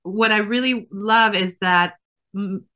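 Bright-voiced woman designer. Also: medium pitch, slow. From Israel. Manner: thoughtful, many fillers and restarts. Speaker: fashion designer guest. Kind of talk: casual interview answers.

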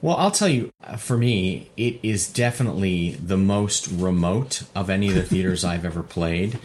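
A man chatting casually as an interview guest, a theatre director with a jokey, halting delivery full of repeats. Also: sits low, a little mellow, slight background noise. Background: puppy moving about at home.

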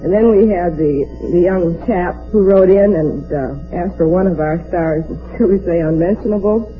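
An American man telling anecdotes as a quiz show contestant in a polite, measured way. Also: sits high. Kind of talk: anecdotal interview answers. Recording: dull old radio recording with audience. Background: music and studio audience.

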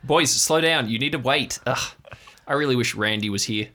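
A man playing a firm, bright-voiced park ranger. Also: mid-range voice, normal speed, voice pushed up, lightly acted. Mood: exasperated. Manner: firm, instructing. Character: cautious, exasperated male park ranger in charge. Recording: faint background noise and ambience.

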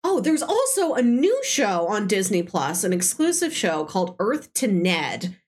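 A woman's voice: medium pitch, big pitch swings, unhurried, a little mellow. A woman hosting a podcast, chatting casually and effusively recommending films she loves. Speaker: podcast host. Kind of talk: casual film discussion chat.

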